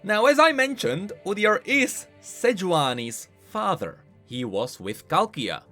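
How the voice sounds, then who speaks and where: A man giving scripted, explanatory commentary as a video creator covering game lore, in an animated manner. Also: sits high, slow pace, soft background music.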